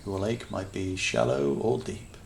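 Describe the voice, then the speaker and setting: A man with a low, heavily creaky voice guides a meditation very slowly. He speaks calmly and soothingly in short phrases with long pauses, over faint nature ambience and birdsong. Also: slight background noise. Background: natural sounds, birds.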